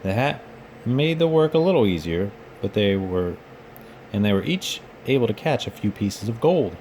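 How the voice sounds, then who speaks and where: A man's voice: fairly low pitch, sing-song, normal speed, a little mellow, a little hiss or hum. A man reading a children's storybook aloud expressively, as if for bedtime. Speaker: storybook reader, children's read-aloud host.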